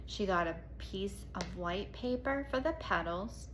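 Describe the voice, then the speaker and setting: A woman's voice: medium pitch, lively intonation, unhurried, a little hiss or hum, low-quality recording. A woman reading a storybook aloud to children, expressively, with explanatory asides and questions to the listeners.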